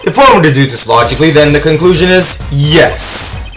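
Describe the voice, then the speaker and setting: A man's voice: a little high, expressive, normal speed, close to an everyday voice. A man playing a theatrical stage magician with a flowery, declaiming manner in a video game fandub, music behind. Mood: grandiose. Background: prominent music.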